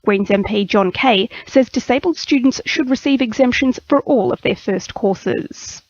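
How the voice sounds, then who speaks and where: A woman's voice: on the high side, unhurried. An Australian woman reading a scripted radio news bulletin as the newsreader. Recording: slightly dull radio broadcast audio.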